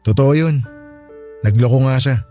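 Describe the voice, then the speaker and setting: Earnest young single dad, medium pitch actor. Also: male voice, moderate pace, dark tone, natural voice. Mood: earnest, hurt. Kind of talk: Filipino radio drama acting. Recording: music bed under the voice.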